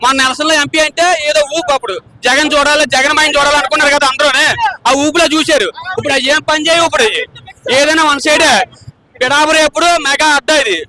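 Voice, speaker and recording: A man's voice: very high voice, steady tone, fast talker, pushed and bright. A man with a coastal Andhra Telugu accent speaking emphatically and repetitively in an impromptu street interview. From Chebrolu, Andhra Pradesh, India. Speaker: party supporter giving street interview. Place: outdoors on a busy street. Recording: noisy outdoor street with crowd.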